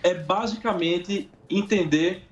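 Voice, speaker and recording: A Brazilian man giving casual, colloquial interview answers, haltingly, with frequent little tag questions. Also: high voice, muffled recording. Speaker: interview guest, musician.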